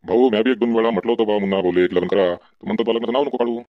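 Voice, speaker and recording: A man's voice: lowish voice, fairly even intonation, very fast, no echo, close to an everyday voice. A man voicing a loyal, gossipy farmhand in a comedy cartoon, deferential and folksy, with a Varhadi Marathi accent. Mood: resigned, chatty. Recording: dull, lo-fi sound.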